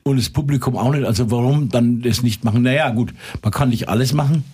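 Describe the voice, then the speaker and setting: Husky older Franconian man telling stories. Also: medium pitch, fast talker. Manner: lively storyteller with many fillers. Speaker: musician and former pub owner. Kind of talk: casual radio interview talk.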